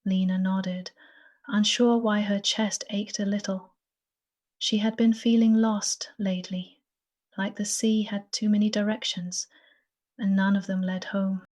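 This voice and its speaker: A woman narrating a bedtime story in a gentle, soothing, partly whispered voice. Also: medium pitch, little pitch movement, measured pace.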